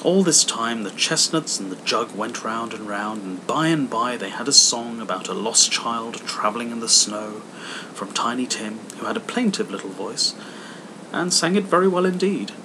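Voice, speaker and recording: A man's voice: medium pitch, expressive, normal speed, noisy background. A man reading a literary story aloud as scripted audiobook narration. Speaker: audiobook reader.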